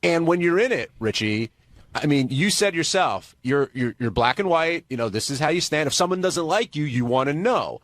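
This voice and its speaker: A man's voice: a little high, expressive, moderate pace, fairly bright tone, no room sound. A man hosting a call-in radio show in a casual, conversational style.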